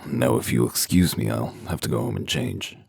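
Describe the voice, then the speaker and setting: Man acting a gruff, gravel-voiced, extremely deep tough guy. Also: animated, normal speed, heavy background noise, full character voice. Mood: curt, weary. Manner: dry, sarcastic, commanding. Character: gruff, sardonic, tough werewolf detective. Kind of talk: comic dub acting.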